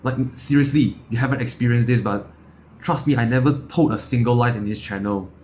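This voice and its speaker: Casting: male, late teens, Malaysian accent, blunt and emphatic vlogger, mid-pitched, slightly noisy recording. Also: a little fast, a little mellow. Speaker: self-improvement vlogger. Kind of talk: unscripted personal opinion talk to camera.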